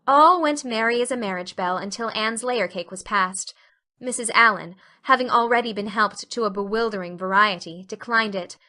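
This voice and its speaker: Bright-voiced woman narrating a story. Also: medium pitch, normal speed. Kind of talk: scripted audiobook narration.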